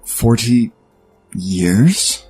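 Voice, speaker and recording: Man acting a husky, slow, disoriented war leader. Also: lowish voice, a little mellow, exaggerated voice, poor audio. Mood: confused. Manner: halting, strained. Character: disoriented male war leader, confused, desperate, intense. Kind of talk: science fiction audio drama acting. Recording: music under the voice.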